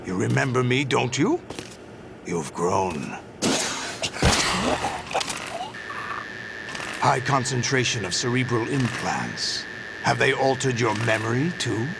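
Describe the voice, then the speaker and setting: An actor playing a middle-aged villain in a video game, a commanding ex-warlord who speaks slowly, gravely and with menace. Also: male voice, mid-range voice, expressive, very noisy. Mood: cold, knowing. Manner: slow, deliberate, menacing. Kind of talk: dramatic video game cutscene acting.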